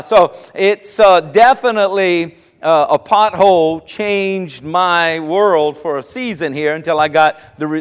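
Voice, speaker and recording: A man preaching as a pastor, telling a personal story in a relaxed, conversational way before slowing down to stress his main points. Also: high-pitched, clear, resonant tone, big-room sound. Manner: storytelling, conversational, slows for emphasis.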